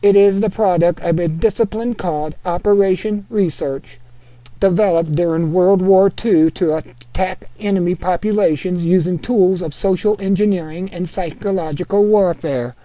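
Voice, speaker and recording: A man's voice: high-pitched, no echo, close to an everyday voice. A man putting on a Norwegian-accented reader. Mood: steady. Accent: put-on Norwegian. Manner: steady, deliberate reading in a put-on accent. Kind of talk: reading an article aloud.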